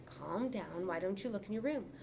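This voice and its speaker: A woman voicing a gentle, caring mother in a storybook reading. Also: mid-range voice, lively intonation, brisk, a little hiss or hum, close to an everyday voice. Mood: soothing.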